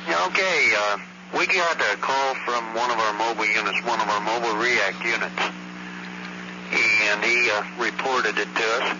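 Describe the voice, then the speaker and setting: Middle-aged American male caller, low voice, matter-of-fact and halting, on a muffled old phone recording. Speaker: caller, eyewitness reporting a sighting. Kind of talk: informal phone report. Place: on a telephone at home. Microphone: telephone handset. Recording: noisy muffled archival phone line.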